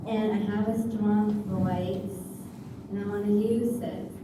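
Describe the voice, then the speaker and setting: A woman self-advocate giving slow, halting, simple short answers in an interview. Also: mid-range voice, steady tone. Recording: echoey room, distant mic, noisy.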